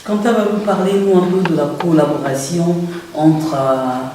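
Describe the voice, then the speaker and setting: TV interviewer, man: higher, mellow voice, formal with fillers, distant and roomy. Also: fairly even intonation, measured pace, slight background noise. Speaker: TV show host. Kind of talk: interview questions. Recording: echoey room, far from the mic.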